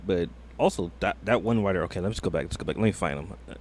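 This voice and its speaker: A man's voice: sits low, quick pace, darkish tone, slight background noise. A man streaming a reaction video, thinking aloud in a hesitant, rambling way full of fillers. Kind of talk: casual reaction commentary.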